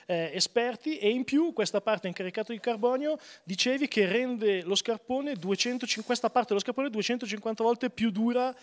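A man's voice: extremely high pitch, normal speed, few pauses. A middle-aged male host interviews a brand representative about ski boots, presenting in a promotional tone with a handheld mic. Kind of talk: product interview. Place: indoor ski test booth, wooden backdrop.

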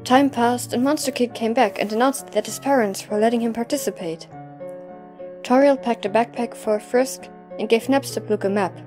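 A woman's voice: a little high, normal speed, darkish tone, soft background music. A woman reading scripted storytelling narration as the narrator of an audio drama.

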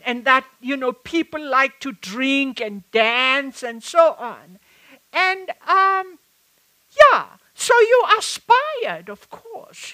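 Older South African woman, unhurried author at a live panel, slightly high bright voice, halting restarts. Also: poor audio. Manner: reflective, halting with many restarts. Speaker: author and activist. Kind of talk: public conversation on stage. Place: stage at a live event. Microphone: headset mic.

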